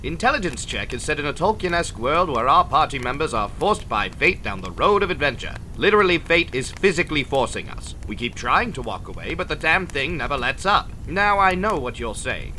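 A man's voice: on the high side, big pitch swings, a little fast, hardly any pauses, pushed and bright, a little hiss or hum. A man playing a theatrical, self-mocking fantasy bard in a scripted podcast promo. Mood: grand, wry.

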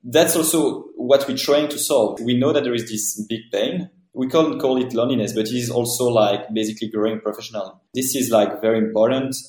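A man's voice: medium pitch, a little fast, few pauses, darkish tone. A man with a French accent, a founder answering podcast interview questions earnestly in long, winding sentences. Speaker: startup founder guest. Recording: roomy echo.

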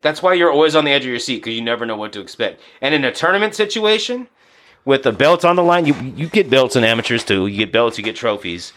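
A man in his thirties, a boxing coach and former DJ, talking casually and profanely on a podcast, telling stories and acting out quick asides. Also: mid-range voice, expressive, a bit bright.

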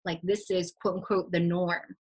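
A woman's voice: on the low side, level tone, moderate pace, slightly rough. A Vietnamese American woman, native in Vietnamese, speaking earnestly in casual conversation. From United States.

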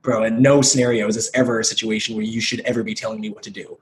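A man in his thirties from the United States, a podcast guest telling his life story in a casual, energetic, self-deprecating way, swearing freely. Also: lowish voice, rapid-fire, a little hiss or hum. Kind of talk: casual chat.

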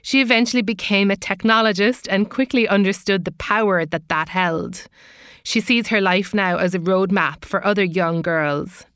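Smooth-voiced woman reading a show intro. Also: mid-range voice, normal speed, fairly bright tone, no room sound, studio quality. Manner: polished, scripted delivery. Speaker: podcast host. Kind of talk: scripted introduction.

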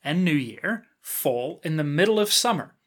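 A New man hosts a podcast, with a bright, fairly high voice. He chats casually and warmly affirms his guest, dropping in playful, humorous asides. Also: expressive, normal speed. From New Zealand. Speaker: podcast host. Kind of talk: casual interview chat.

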